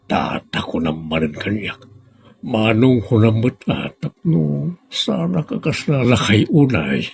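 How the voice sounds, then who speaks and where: A man's voice: mid-range voice, very animated, slow pace, vocal fry, a little mellow, husky, full character voice. A man voicing a teasing older man in a radio drama, bantering and protesting with a Filipino accent. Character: teasing older man insisting on being called big brother.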